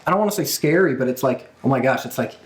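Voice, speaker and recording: A man's voice: mid-range voice, expressive, fast, roomy sound. A man hosting a casual wrestling podcast, chatting with co-hosts in conversational style. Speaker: podcast host.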